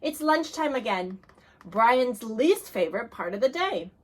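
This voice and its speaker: A woman reading a children's picture book aloud, expressive, going soft and hushed at the sad moments. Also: fairly high pitch, unhurried, noisy background. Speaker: reader.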